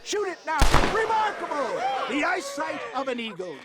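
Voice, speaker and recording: A man's voice: very high-pitched, sing-song, normal speed, very noisy. A man playing a pompous old snake-oil salesman in a western video game, theatrical and wheedling with florid showman's patter. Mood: grandiose showmanship. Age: older.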